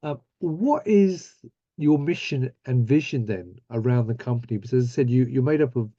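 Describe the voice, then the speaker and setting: A man's voice: mid-range voice, animated, moderate pace, dark tone, dry recording. Upbeat male host on a dull line. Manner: upbeat, friendly questioning. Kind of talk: business interview over video call.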